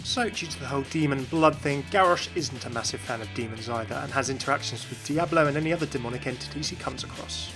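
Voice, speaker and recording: A man's voice: mid-range voice, fast, hardly any pauses. A man giving explanatory commentary as the host of a gaming video. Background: music.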